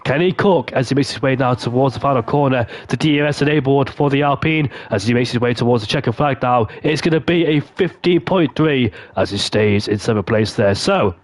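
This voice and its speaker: A man commentating a sim racing session, excitable and losing his voice, hoarse. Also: brisk, few pauses, no echo. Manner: excitable, rises sharply in pitch at key moments. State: voice going hoarse. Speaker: lead commentator.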